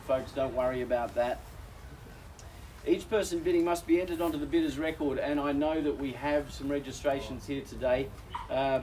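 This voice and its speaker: A man's voice: medium pitch, darkish tone, distant mic, a little hiss or hum, low-quality recording. An Australian man working as an auctioneer, speaking genially to a crowd outdoors at a house auction, his voice weakened by a recent chest infection. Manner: genial, folksy, addresses crowd as folks. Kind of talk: live outdoor auction address.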